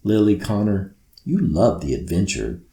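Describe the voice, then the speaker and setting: A man's voice: fairly low pitch, big pitch swings, a little slow, warm tone. A man voicing a playful, mysterious magical guide to children from a storybook, with a grand, teasing delivery. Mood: playful, reassuring. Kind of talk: storybook character voice. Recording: roomy echo.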